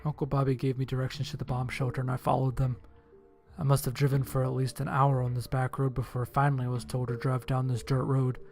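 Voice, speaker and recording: A man's voice: mid-range voice, completely flat delivery, fast, gravelly, a little mellow. A man reading a first-person horror story as a dramatic scripted narration. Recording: music under the voice. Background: music.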